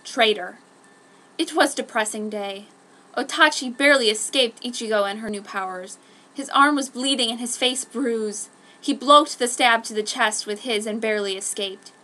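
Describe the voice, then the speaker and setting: A woman's voice: a little high, steady tone, normal speed. A woman reading a story aloud at home in a theatrical, emphatic way. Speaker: fan fiction reader. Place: a room at home. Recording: faint background noise, home room. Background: very quiet instrumental music, faint noise.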